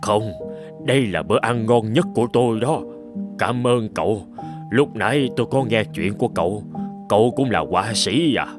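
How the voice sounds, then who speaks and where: A man playing a humble, dignified older rich gentleman in a dubbed cartoon, speaking in a measured, gracious way. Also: on the low side, music in the background, close to an everyday voice. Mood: grateful. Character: humble, dignified older billionaire posing as a beggar.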